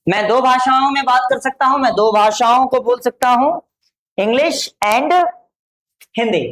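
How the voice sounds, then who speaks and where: Slow-dictating Indian woman teacher. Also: a little high, a bit bright, rough recording. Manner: slow, drawn-out dictation of model answers, repeating each phrase. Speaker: English teacher. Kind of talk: spoken English lesson for Hindi-speaking students.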